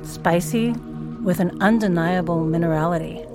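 A woman playing a worldly food journalist in an audio drama, speaking in measured, florid phrases. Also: on the low side, natural voice. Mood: elated awe. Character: Louise, worldly female food journalist, drily skeptical then rapturous. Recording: background music under the voice.

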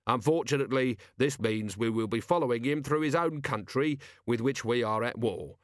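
A man's voice: medium pitch, normal speed, no room sound, natural voice. Man playing a weary, sardonic watch commander. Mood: wry, resolute. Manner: dry, understated.

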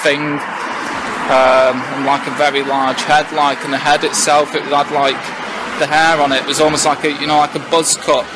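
A young man from England, a witness recounting his experience in a halting, hesitant way, outdoors on a residential street. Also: on the high side, level tone. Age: young adult. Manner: hesitant, halting, many fillers. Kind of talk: casual first-hand recounting. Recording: noisy outdoor ambience.

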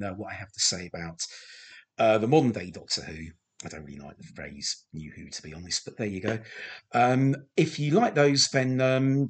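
A man hosting a podcast, chatting through a TV commentary with a slightly croaky voice, rambling and hesitant with many fillers and restarts, self-deprecating. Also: on the low side. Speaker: podcast host. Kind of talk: casual film commentary.

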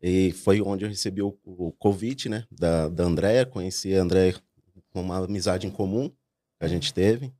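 A man with a very deep voice chats in a relaxed, joking way on a podcast. The recording is dry and close, with little room sound. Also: moderate pace, dry recording. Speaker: operations partner. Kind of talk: casual podcast chat.

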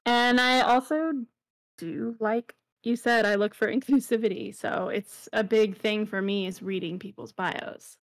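A female streamer speaks earnestly in a casual group chat, her voice mid-pitched. She pauses now and then to find the right words. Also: fairly even intonation. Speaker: streamer, guest.

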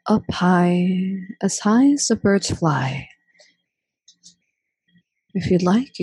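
A woman leading a guided meditation in a soothing, breathy, hushed voice, drawing out and gently repeating phrases with long pauses. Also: medium pitch, dry recording, poor audio.

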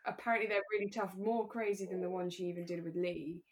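A woman co-hosting a podcast in relaxed, casual conversation, thinking aloud as she talks. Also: lowish voice, fast talker. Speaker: podcast co-host; actor. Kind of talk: relaxed casual chat about a radio drama.

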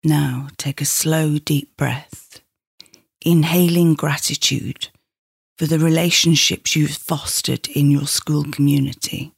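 Slow, husky woman guiding meditation. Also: low-pitched, no echo, clean studio sound. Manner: soothing, measured, instructing. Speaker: psychotherapist leading a meditation. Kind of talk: guided meditation.

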